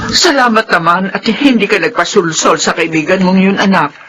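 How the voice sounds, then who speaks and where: A woman playing an older, tender mother in a classic radio drama, speaking with tearful warmth. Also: medium pitch, lively intonation, normal speed, husky, faint music behind.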